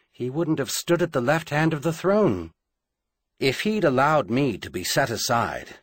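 A proud young queen acted out by a male narrator speaks candidly in a storybook drama. The voice is lifted in pitch and husky, with expressive swings and earnest, formal emphasis. She sounds resolute beneath her grief. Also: no echo, studio quality.

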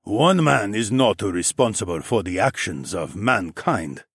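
A man voicing a stern, guarded Korean crime boss who speaks quietly, formally and with measured menace in an audiobook. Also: fairly low pitch, lively intonation, breathy edge, no room sound, clean studio sound, heavily acted.

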